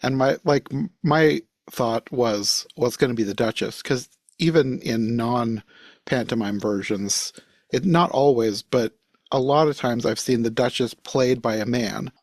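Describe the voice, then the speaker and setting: A man's voice: mid-range voice, measured pace, no room sound, studio quality. A man chatting casually as a panelist on a podcast, giving his own impressions. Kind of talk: casual chat.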